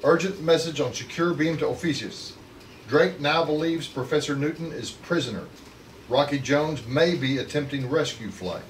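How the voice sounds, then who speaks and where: A man plays a menacing spy who reports furtively in a retro space serial. His pitch is slightly high for a man. The recording is roomy with faint noise. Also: normal speed, lightly acted. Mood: secretive. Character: furtive male traitor radioing secret reports, menacing. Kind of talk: table read of a retro space adventure script. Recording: echoey room, distant mic, faint noise.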